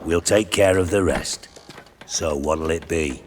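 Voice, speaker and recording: Low-voiced cunning spymaster. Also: male voice, a little hiss or hum. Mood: calculating. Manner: measured, deliberate, persuasive. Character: cunning, theatrical male spymaster and schemer. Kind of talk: cinematic game voice acting.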